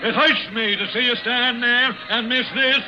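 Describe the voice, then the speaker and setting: An actor playing a slangy, folksy carnival barker selling tickets in a 1940s radio drama. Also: male voice, very high voice, steady tone, normal speed. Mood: hawking, showy. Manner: folksy, slangy sales patter. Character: loud, cagey middle-aged male carnival ticket barker. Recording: noisy, muffled 1940s radio recording.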